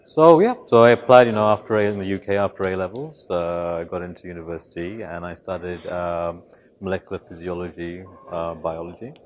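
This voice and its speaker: A man's voice: low-pitched, steady tone, a little fast, slight background noise. A man who was born in Malaysia and raised in the UK, a biology professor answering interview questions thoughtfully, with many fillers and restarts. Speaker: biology professor and lab head.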